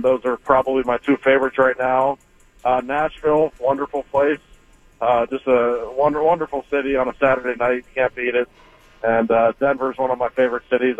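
A man's voice: mid-range voice, no echo. Casual guy on a phone line, dry and tinny, talkin hockey with lots of ums. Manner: relaxed, chatty, many fillers. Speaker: hockey reporter guest. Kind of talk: casual radio interview by phone.

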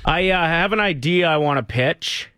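A man's voice: a little high, moderate pace, bright, forceful tone, dry recording, faint music behind. A man hosting a morning radio show, bantering with his co-host and explaining a call-in game.

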